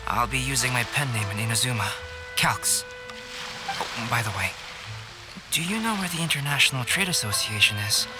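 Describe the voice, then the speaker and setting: Guy voicing a composed young artist scholar in a video game, measured and articulate, music behind him. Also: mid-range voice, lively intonation, natural voice. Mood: polite, composed. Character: calm, composed young male painter and scholar. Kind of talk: English game voice acting.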